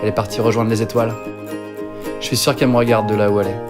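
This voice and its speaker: A man's voice: on the low side, little pitch movement, very fast. A young man in his twenties from northern France, telling his life story as a jokey, self-mocking voice-over. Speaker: video creator. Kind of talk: comic autobiographical voice-over. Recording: voice-over with music behind.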